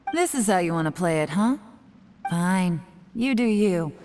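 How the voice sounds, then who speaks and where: A cool, teasing spy. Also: female voice, lowish voice, lively intonation, unhurried, darkish tone, big-room sound, a little hiss or hum. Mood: wry. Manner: casual, confident, wry. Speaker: game character.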